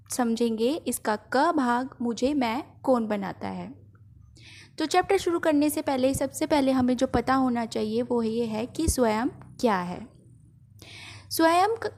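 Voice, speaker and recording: A woman with an Indian accent explaining a school lesson step by step, in a teacher's didactic manner. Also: a little high. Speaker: home science teacher.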